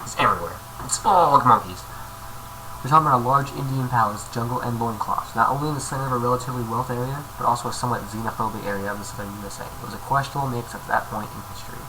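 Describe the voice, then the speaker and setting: Man, informal story narration, off-script asides, stumbling delivery, medium pitch, noisy amateur recording. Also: very fast. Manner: informal, stumbles over words, jokey interjections. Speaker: creepypasta reader, video host.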